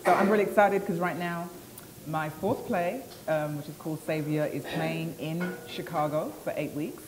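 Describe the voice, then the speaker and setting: A British woman introducing herself briskly on a panel, rattling off her work. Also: low-pitched, long reverb tail, background noise. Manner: brisk, energetic, lists achievements. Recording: loud crowd behind.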